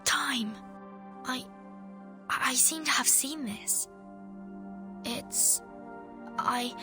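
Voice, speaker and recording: A composed young female leader in a fantasy game. Her voice sits fairly high and moves slowly, serious and pensive, with clear music playing behind it. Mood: dazed. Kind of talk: video game voice acting.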